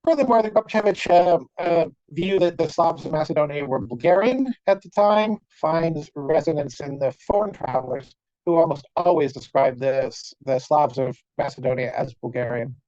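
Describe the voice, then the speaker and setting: A scholar presents a lecture to an online symposium, using a mellow, dark-toned voice, wry understatement and lots of "uh" and "um" restarts. The sound is dull and lo-fi, picked up close on a computer mic in a dead, dry room. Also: mid-range voice, lively intonation, normal speed, no room sound. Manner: wry, dry humour with frequent fillers and restarts. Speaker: conference speaker. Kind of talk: academic lecture. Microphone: computer mic on a video call. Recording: dull, lo-fi video call audio.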